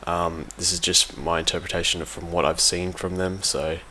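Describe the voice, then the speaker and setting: Low, flat-toned young-sounding gamer guy, bright edge. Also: normal speed, slight background noise. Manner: hesitant, informal, self-correcting. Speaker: competitive gaming team member giving an audio log. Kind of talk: casual unscripted commentary.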